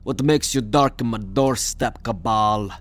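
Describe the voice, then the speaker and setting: A man voicing a shady green alien collector, gruff, bitter and quick to take offence, in an ASMR roleplay drama. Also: on the low side, close to an everyday voice. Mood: wary, haggling. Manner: gruff, indignant, haggling.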